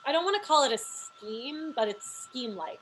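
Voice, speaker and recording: High-voiced, blunt hustler played by a woman. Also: lively intonation, moderate pace, fairly bright tone, a little hiss or hum, rough recording. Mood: breezy. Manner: matter-of-fact, blunt. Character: breezy young woman, shameless hustler with blunt rules. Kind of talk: comedy script table read.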